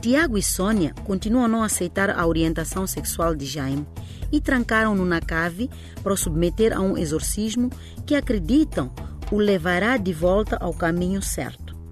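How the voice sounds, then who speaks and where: A woman's voice: medium pitch, lively intonation, normal speed. A woman presenting a scripted recap of an audio drama episode. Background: music.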